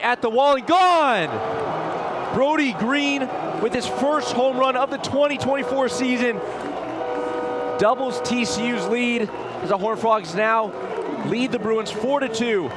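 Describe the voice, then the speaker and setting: A man doing live radio baseball play-by-play as the announcer, excitable on big plays with drawn-out calls. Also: sits high. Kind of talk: live sports commentary. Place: outdoor baseball stadium broadcast. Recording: loud crowd and stadium noise behind. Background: crowd, ballpark ambience.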